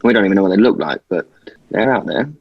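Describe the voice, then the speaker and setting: A man reads a seasoned young leader guiding a newcomer through a dangerous place. His voice is low and husky, explaining things in a table-read drama, and the recording is slightly muffled. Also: expressive, fast talker, heavily acted. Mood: grave, ominous.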